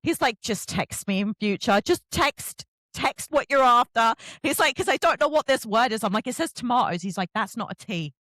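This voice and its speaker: A middle-aged woman hosts a chatty interview. Her voice sits fairly high and swings up and down a great deal as she tells animated, self-mocking stories. The sound is dry and close. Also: moderate pace, hardly any pauses, no room sound. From England. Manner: animated, self-deprecating storytelling. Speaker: podcast host interviewing an author.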